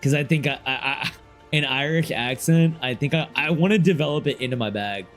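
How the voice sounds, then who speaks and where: A young man of middling pitch chats loosely with his stream audience, full of slang, his sentences wandering and often fading off softer. He speaks into a desk mic at his streaming setup while faint game music plays behind him. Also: fairly quick. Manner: rambling and loose, heavy slang, trails off quieter. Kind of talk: casual livestream chat, reading chat aloud.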